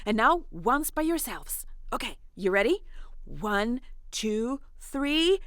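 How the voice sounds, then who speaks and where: A woman's voice: a little high, takes it slowly, smooth, dry recording, clean studio sound. A woman telling an interactive fairy tale to children in a playful, encouraging, chatty way. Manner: encouraging, animated, chatty asides to young listeners. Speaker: storyteller, host of interactive children's fairy tales.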